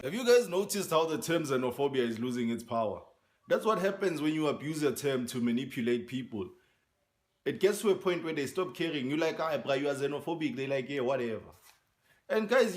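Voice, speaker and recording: A man's voice: on the high side, animated, a little fast. A man giving blunt, sarcastic opinions straight to his viewers. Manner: blunt, sarcastic, addresses "guys". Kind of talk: opinion video.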